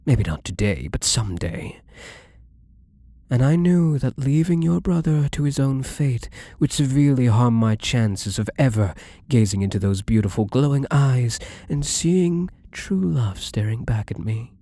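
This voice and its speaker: A man's voice: medium pitch, expressive, a little slow, warm tone, dry recording. A man playing a wealthy, obsessively devoted and controlling gambler in an intimate ASMR roleplay, with doting, menacingly tender delivery and formal wording.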